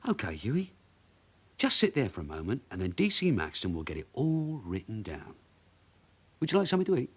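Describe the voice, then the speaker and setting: Husky, low-voiced veteran detective. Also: male voice, wildly swooping pitch, brisk, full character voice. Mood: brisk, decisive. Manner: terse, probing questions and clipped orders. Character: shrewd veteran London detective sergeant, dry and pressing. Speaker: police detective in a radio drama. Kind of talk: naturalistic British radio drama acting.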